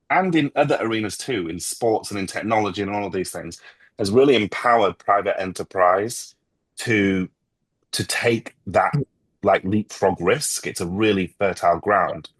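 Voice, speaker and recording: A man's voice: low voice, slightly rough, dry recording. A middle-aged man from the United Kingdom interviewing a guest in a casual, conversational way. He asks long, winding questions.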